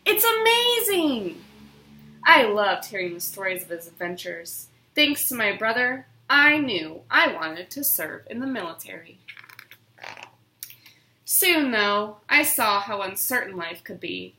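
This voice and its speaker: A woman giving an expressive, theatrical dramatic reading of a children's book. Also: mid-range voice, unhurried. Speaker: storybook reader. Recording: roomy, echoing room sound.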